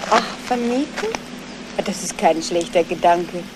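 A woman's voice: lowish voice, unhurried. A woman playing a chatty, angling middle-aged housewife and mother in a 1970s radio crime drama. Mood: eager, persuasive. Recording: noisy old radio play recording.

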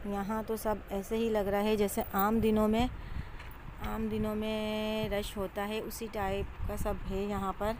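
A woman's voice: mid-range voice, little pitch movement, measured pace, darkish tone. A woman casually narrating a vlog as she goes about. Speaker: vlogger, mother. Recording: clear outdoor street and vehicle noise.

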